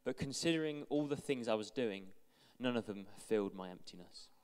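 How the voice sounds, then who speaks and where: A man's voice: mid-range voice, animated, brisk, darkish tone, clean tone. A young man on a church stage, earnestly reading out a friend's testimony into a handheld mic. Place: large church hall with stage. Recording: long hall reverb.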